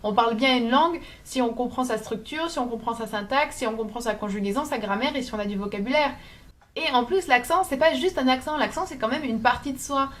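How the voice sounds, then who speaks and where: A woman's voice: a little high, a little fast, fairly bright tone. A woman with a slight southern French accent giving an informal, opinionated talk to viewers, emphatic and insistent, sometimes searching for her words. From southern France. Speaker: video blogger.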